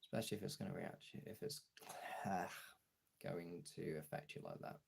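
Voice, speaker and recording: Really deep raspy guy streaming, just chatting candidly, stop and start, swears a lot. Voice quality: gravelly, husky. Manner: halting, candid, frequent swearing. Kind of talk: casual chat, personal talk to viewers.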